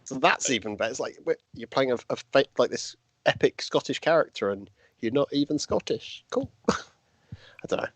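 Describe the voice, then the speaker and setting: A man's voice: medium pitch, animated, moderate pace, no echo. A man co-hosting a live stream, chatting in a wry, rambling way. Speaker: co-host. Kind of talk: casual live-stream chat.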